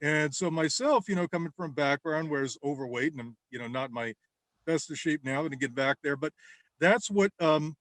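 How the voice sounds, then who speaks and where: A man's slightly high voice comes across close and dry, with no room sound at all. He talks loosely and at length in casual podcast chat, restarting sentences and leaning on fillers. Now and then he slips into quick impressions of the people he has dealt with. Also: a bit bright, dry recording. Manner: rambling, with many restarts and fillers. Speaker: guest, political campaign caller.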